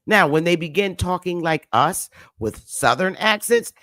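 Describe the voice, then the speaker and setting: A woman's voice: on the low side, expressive, a little slow, a bit bright, no room sound, studio quality. A woman with a Northern US accent hosting a livestream, lecturing her viewers emphatically, repeating her points and calling on the chat to answer back. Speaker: livestream host.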